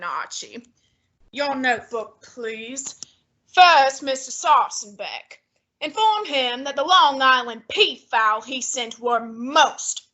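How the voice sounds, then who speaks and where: A woman's voice: high-pitched, bright, forceful tone, heavily acted, rough recording. A teenage girl playing a fat, lazy, stubborn male detective in a student radio drama, with a pompous, clipped manner. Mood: fussy. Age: teens.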